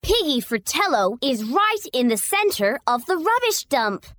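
A woman's voice: high-pitched, sing-song, a little slow, fairly bright tone, no room sound, clean studio sound, exaggerated voice. A woman voicing a small, helpful robot puppy in a children's story, reassuring in manner. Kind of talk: children's animated story acting.

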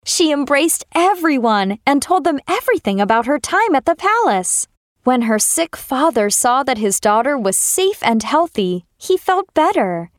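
Animated woman narrating a children's story. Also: sits high, moderate pace, no room sound, studio quality.

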